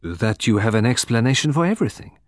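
A man playing a composed, formal detective from abroad, speaking deliberately with dramatic emphasis in an audiobook. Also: medium pitch, lively intonation, no room sound, studio quality, lightly acted. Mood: dry, probing. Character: composed, precise foreign male detective, quietly theatrical.

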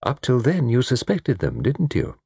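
A man voicing a placid, enigmatic British gentleman in a storybook, murmuring in a measured, dreamy, knowing way. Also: deep voice, wildly swooping pitch, gravelly, slightly rough, dry recording, studio quality, exaggerated voice.

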